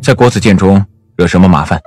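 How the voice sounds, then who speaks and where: A scheming emperor in a Chinese period audio drama. His voice sits very low and sounds imperious and theatrically regretful, with faint music behind it. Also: fast talker, a little mellow, no echo. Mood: probing.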